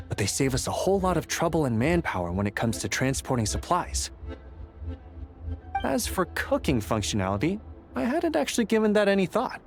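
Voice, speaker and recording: A man's voice: medium pitch, close to an everyday voice. A man voicing an earnest, dutiful young squad leader in a video game, explaining things in a friendly way. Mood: casual explaining. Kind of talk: English video game character acting. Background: background music.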